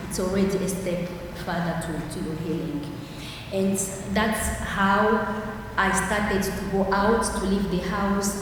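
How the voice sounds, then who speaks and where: A woman whose first language is Swahili gives a personal talk at a conference, halting and searching for words with many restarts. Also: fairly low pitch. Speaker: conference speaker. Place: large hall. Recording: echoey hall, distant mic, noisy.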